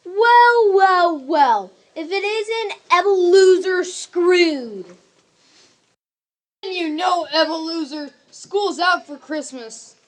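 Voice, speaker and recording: A performer playing a smug, snobbish schoolchild bully in a radio play, gloating in drawn-out taunts. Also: extremely high pitch, lively intonation, exaggerated voice. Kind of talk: stage radio play acting.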